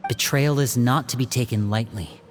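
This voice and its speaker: A man plays a young magician from a fantasy game, speaking at a middling pitch. He tells his stories in a smooth, easy way and grows serious and quiet on dark topics. Faint ambience sits behind him. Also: normal speed, slight background noise, natural voice. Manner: smooth storyteller, turns serious and low. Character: young male stage magician, charming but grave. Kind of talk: game voice acting.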